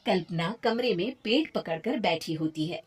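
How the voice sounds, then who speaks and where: A woman's voice: on the low side, lively intonation, moderate pace, very noisy. A woman narrating a scripted moral tale as a storyteller.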